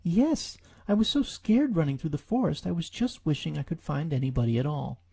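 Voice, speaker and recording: Man doing a high, nervous little piglet. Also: expressive, brisk, vocal fry, dark tone, husky, full character voice. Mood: nervous, pleading. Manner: nervous, hesitant, stammering. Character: small, timid, anxious piglet, eager and polite.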